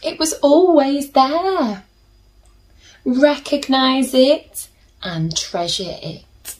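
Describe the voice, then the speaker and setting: A woman in her thirties reading a picture book aloud to children in a gentle, deliberate manner, with chatty asides. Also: high voice, animated, slight background noise. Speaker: children's story reader. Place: small plain room indoors.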